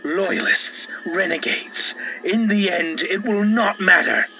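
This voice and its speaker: A man playing a megalomaniac mad titan, delivering a grandiose, deliberate villain monologue in an audio drama. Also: high voice, bright, forceful tone, a little hiss or hum, full character voice. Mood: proud, sinister. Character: megalomaniac male mad titan plotting to remake existence.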